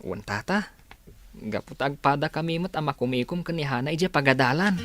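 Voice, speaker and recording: A man's voice: on the high side, expressive, a little fast, natural voice. A man with a Filipino accent playing a polite, eager teenage schoolboy in a radio drama. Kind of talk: Ilocano radio drama acting.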